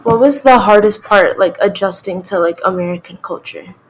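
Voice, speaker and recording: A woman's voice: mid-range voice, normal speed, a little hiss or hum, rough recording. A teenage girl hosting a school podcast, asking short, friendly interview questions. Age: teens.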